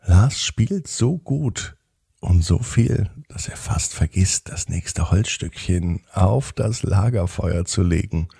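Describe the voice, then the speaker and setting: A man with a husky, gravelly voice gently narrating a children's bedtime story in a soothing manner. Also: sits low, animated, slow pace, no echo, studio quality.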